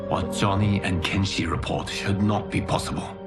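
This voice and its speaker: A man acts out a benevolent god of a cinematic game world. His voice is low and grave, and he speaks in measured, formal commands. Music swells behind him. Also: steady tone, natural voice. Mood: grave, troubled. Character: grave, benevolent fire god protecting the earth realm. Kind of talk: solemn cinematic game dialogue.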